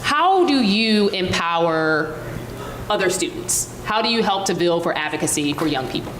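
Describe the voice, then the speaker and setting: A woman moderating a panel, introducing the speakers in a lively, teasing way. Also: on the low side, moderate pace. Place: event hall with live audience. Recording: echoey hall, loud crowd and noise. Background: loud audience.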